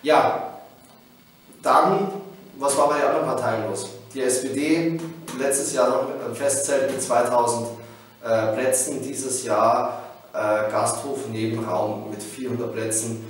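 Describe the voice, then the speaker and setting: A man's voice: mid-range voice, fairly even intonation, a little slow, far from the mic, a little hiss or hum. Echoey-roomed man giving a political update. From Bavaria, Germany. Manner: hesitant, many filler sounds, combative. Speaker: regional politician. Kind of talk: weekly political video update. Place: small indoor room before a backdrop. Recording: echoey room, mic set back.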